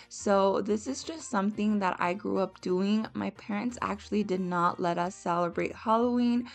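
A woman's voice: medium pitch, gravelly. A young woman narrating a home cooking and decorating video in a friendly, chatty voice-over, speaking directly to her viewers. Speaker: home vlogger. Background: soft background music.

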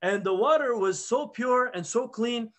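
A man's voice: very high voice, unhurried. A man in his thirties teaching a halaqa, earnestly retelling a prophet's story with frequent restarts, recorded on a webcam indoors. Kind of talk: storytelling religious lesson. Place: plain indoor room. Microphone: webcam video call.

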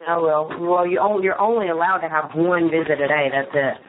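A woman's voice: low-pitched, fairly even intonation, a little fast. A woman chatting casually on the phone in a blunt, slangy way. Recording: tinny phone line, faint noise.

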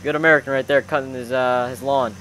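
A man's voice: medium pitch, steady tone, fast, a bit bright, slight background noise, poor audio. A young man commentating on gameplay casually with friends, exclamatory and full of slang like bro and dude. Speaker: gaming video host.

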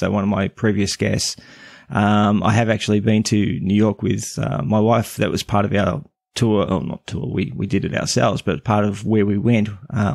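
Man, podcast host, gravelly, casual rambling chat, dry recording. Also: on the low side, dry recording. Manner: rambling, hesitant with many restarts. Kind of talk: casual interview.

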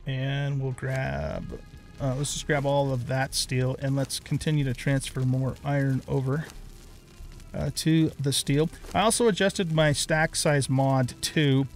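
A man's voice: medium pitch, unhurried, soft background music. A middle-aged man giving casual let's play commentary as a YouTube host, rambling and thinking aloud as he plays.

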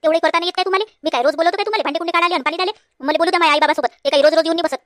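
A woman's voice: extremely high pitch, racing, no room sound, studio quality. A woman voicing a bossy married daughter in a comedy cartoon, scolding in rural Varhadi Marathi from Vidarbha. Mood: bossy, nagging. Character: bossy married daughter, fussing over her parents, quick to scold.

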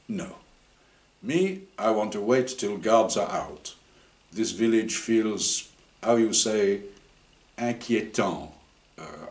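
A man's voice: lowish voice, a little mellow, echoey room. A man reading aloud as a grizzled, wary French archaeologist who searches for words in English.

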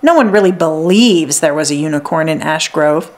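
A woman reader plays a kindly village healer woman in a children's storybook. She is hearty and plain-spoken, with brisk lines that swing in pitch. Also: expressive, a little mellow. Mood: matter-of-fact.

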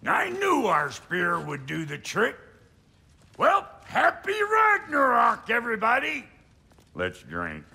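A man playing a boisterous, gloating reveller in a fantasy video game, with a raspy voice. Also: very high voice, wildly swooping pitch, slow, bright, forceful tone, full character voice. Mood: jubilant. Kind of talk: video game drama acting.

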